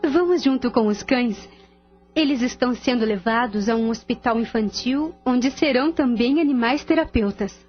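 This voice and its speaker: A woman's voice: on the high side, normal speed, lightly acted. A Brazilian woman voicing a serene spirit nun who instructs students in a radio drama, formal and reverent. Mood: serene, instructive. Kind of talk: radio drama acting, didactic explanation. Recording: dull lo-fi audio. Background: music.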